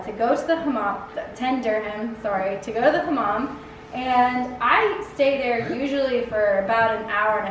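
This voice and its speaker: A young woman chatting casually in a Q&A, laughing often and using lots of fillers. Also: on the high side. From United States. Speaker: Peace Corps volunteer answering questions. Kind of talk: casual Q&A chat. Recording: echoey room, distant mic, background noise.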